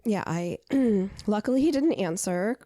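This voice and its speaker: A young woman with a hoarse, raspy voice chats casually as a podcast co-host, her tone dry and deadpan. The sound is mellow and warm, recorded close and dry with no room echo. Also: medium pitch, unhurried. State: hoarse from illness. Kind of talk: casual chat.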